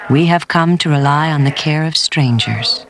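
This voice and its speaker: A man's voice: fairly high pitch, moderate pace. A man reading scripted narration for a documentary.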